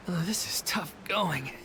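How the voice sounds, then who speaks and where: An actor voicing a weary, considerate member of a theatre troupe in a video game. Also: medium pitch. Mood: weary, concerned.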